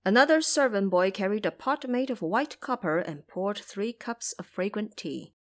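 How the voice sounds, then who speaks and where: A woman's voice: mid-range voice, lively intonation, normal speed. A woman reading scripted narration for an audio drama as its storyteller.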